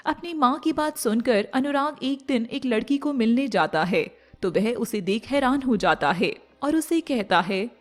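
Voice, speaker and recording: A woman's voice: a little high, animated, measured pace, few pauses. A woman narrator reading a scripted moral story. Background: faint music.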